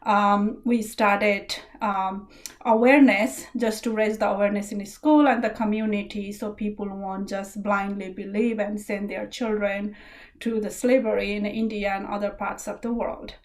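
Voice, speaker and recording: A woman's voice: mid-range voice, level tone, normal speed. A middle-aged woman from Nepal with a Nepali accent, giving an earnest, thankful founder's speech at an online gala. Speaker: charity founder.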